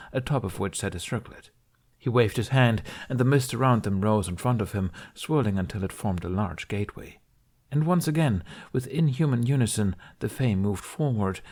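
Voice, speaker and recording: Male narrator, lowish voice, calm measured storybook read. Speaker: podfic reader.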